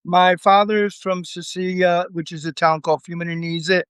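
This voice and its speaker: A man speaks as an interview guest, with a smooth, clear voice a little higher than most men's. His answers are relaxed and meandering, with fillers along the way. The recording is dry and close. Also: normal speed, no echo. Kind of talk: casual conversation.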